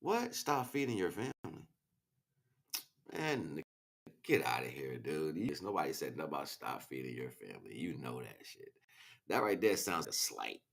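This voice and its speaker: A man's voice: a bass voice, big pitch swings, normal speed, gravelly, a bit bright. A man hosting a casual livestream, talking in a slangy, rambling, preachy way as he chats back to viewers. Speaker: livestream host. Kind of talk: casual impassioned livestream monologue.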